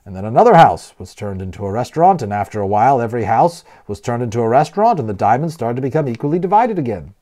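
A man reading a children's novel aloud as a storyteller, expressively and with deliberate emphasis on key words. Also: medium pitch, sing-song, mellow. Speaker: audiobook narrator and storyteller.

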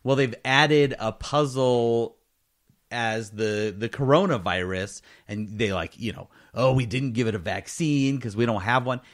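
A man's voice: mid-range voice, a little slow. A man co-hosting a gaming podcast in casual, joking banter with a mock-serious way of talking. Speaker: podcast co-host.

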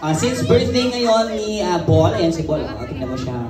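A man's voice: high voice, sing-song, normal speed, distant mic. Filipino man, young adult, party entertainer patter, roomy PA sound, noisy crowd behind. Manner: instructing, switches between Tagalog and English. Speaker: ventriloquist and children's party entertainer. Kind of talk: live puppet show patter with audience. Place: decorated indoor party venue with audience. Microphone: handheld mic on PA, filmed by audience phone.

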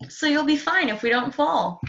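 A woman's voice: high-pitched, expressive, normal speed, a bit bright. A woman playing a teasing, plucky young rebel, recorded on a dull call microphone.

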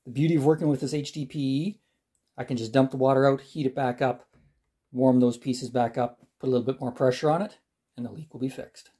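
Mellow, husky-voiced man demonstrating a repair. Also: mid-range voice, fairly quick. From Canada. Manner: relaxed, plain-spoken, talks while working. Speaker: DIY channel host. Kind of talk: hands-on how-to demonstration. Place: garage workshop.

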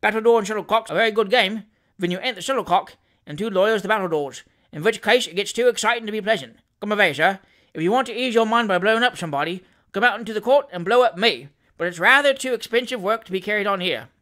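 Man acting a cheeky high-voiced manservant. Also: quick pace, pushed and bright. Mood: wry, firm. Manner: colloquial, wry, no-nonsense. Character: cheeky, protective, plain-spoken working-class manservant.

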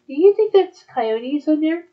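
A woman with a fairly high voice chats in a casual, conversational way. The recording is slightly dull and lo-fi. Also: expressive, unhurried. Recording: dull, muffled lo-fi audio.

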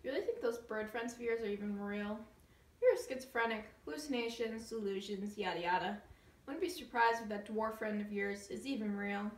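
A woman's voice: mid-range voice, a little fast, close to an everyday voice. A woman playing a vain, scheming evil queen, sweetly menacing and coldly dismissive, in an amateur fairy tale comedy skit. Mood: dismissive, impatient. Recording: echoey room, distant mic, faint noise.

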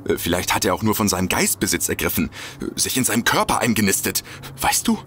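An actor playing an eager, gullible amateur detective in a comedic audio drama, earnest, excitable and a bit hesitant. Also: male voice, lowish voice, quick pace, a little hiss or hum. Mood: earnest, conspiratorial. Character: eager, gullible male amateur detective obsessed with lizard people.

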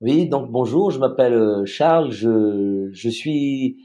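A man's voice: mid-range voice, takes it slowly. A man in his forties from Madagascar, a retired soldier, talking in an easygoing way as a podcast guest over a video call. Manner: relaxed, easygoing, repeats words as he starts. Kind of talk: casual interview chat. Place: home room, video call.

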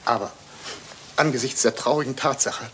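A man's voice: medium pitch, fairly even intonation, moderate pace, pushed and bright, natural voice. A male actor playing a formal, discreet manservant in a German radio crime drama, stiff and deferential. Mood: discreet, grave. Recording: faintly noisy old radio play recording.

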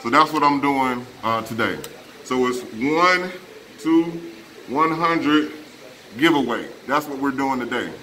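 A man's voice: mid-range voice, a little slow, sharp tone, a little hiss or hum. A middle-aged man hosting a giveaway as a barber, chatting casually and playfully with customers and often repeating himself. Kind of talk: casual vlog chat with customers. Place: barbershop, indoors. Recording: echoey room with shop chatter.

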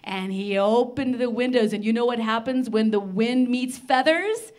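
An older woman telling a children's story live in an expressive, theatrical manner with dramatic pauses. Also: on the high side. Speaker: storyteller on a children's radio show. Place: large library room, live before a seated audience. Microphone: handheld microphone.

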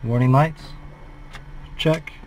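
A man's voice: medium pitch, takes it slowly, a little mellow, a little hiss or hum. A flight instructor in his forties, calling out a preflight checklist in a methodical, clipped way from a small plane cockpit on a headset.

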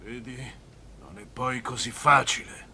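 A man voicing a brooding, resentful elder brother, a shepherd, in an animated film dub. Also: medium pitch, unhurried. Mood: reluctant. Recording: noisy ambience behind cartoon dialogue.

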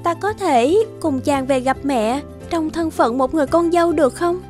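A woman playing a gentle, devoted young princess in a fairy-tale cartoon, speaking pleadingly. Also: high-pitched, normal speed, faint music behind, natural voice.

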